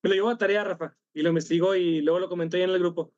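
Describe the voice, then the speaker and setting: A man leading an online study workshop, speaking haltingly, correcting himself and apologizing after coughs. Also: sits high, steady tone, darkish tone, no echo, muffled recording. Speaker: workshop leader.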